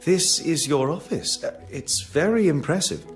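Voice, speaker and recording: A man playing an earnest, kindly, polite gentleman who assists a detective in a mystery game. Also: fairly high pitch, lively intonation, a little slow, soft background music. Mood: reassuring, polite. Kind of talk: scripted game dialogue.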